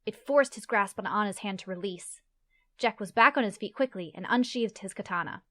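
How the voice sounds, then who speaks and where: A woman's voice: mid-range voice, fairly quick, bright, forceful tone. A woman narrates a scripted fantasy tale. Her voice sits in a middle range. She reads as the storyteller of an audio play.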